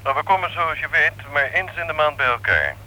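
A piercingly bright, animated male voice with faint noise, as on a telephone in a radio play. a courteous club official, deferential and chummy as he flatters. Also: a little high, a little fast, rough recording. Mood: courteous, flattering.

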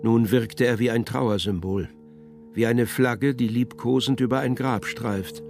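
A man's voice: mid-range voice, fairly even intonation, a little slow, gravelly. Solemn male narrator over faint music. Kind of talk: scripted literary narration in radio drama.

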